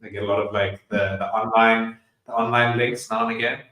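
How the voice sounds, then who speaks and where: A man's voice: lowish voice, level tone, a little fast, pushed and bright. A man with a South African accent hosting a casual podcast chat, laid-back and slangy. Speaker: podcast host. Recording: echoey room.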